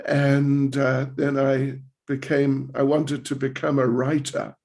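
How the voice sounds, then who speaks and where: An older man in his seventies from New Zealand speaks slowly in a relaxed, rambling way, giving a spiritual class with self-deprecating asides. His voice has a gravelly edge, and he takes his time between phrases. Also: on the high side. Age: 70s. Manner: slow, rambling, self-deprecating with light laughs. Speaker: visiting swami giving a class.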